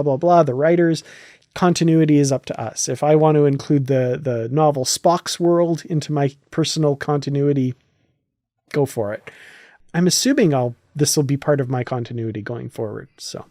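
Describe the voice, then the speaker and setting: A man's voice: fairly high pitch, normal speed, dark tone, dry recording, clean studio sound. A man hosting a casual podcast discussion, explaining his points and checking in with his listener as he goes. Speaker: podcast host. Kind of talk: casual podcast discussion of a science fiction franchise.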